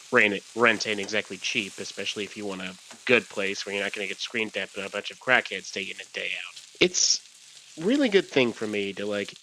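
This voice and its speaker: A man's voice: on the low side, sharp tone, a little hiss or hum, rough recording. A man from Los Angeles narrating his own documentary in a candid, casual way, with loose asides. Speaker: documentary director and interviewer. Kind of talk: reflective voice-over narration.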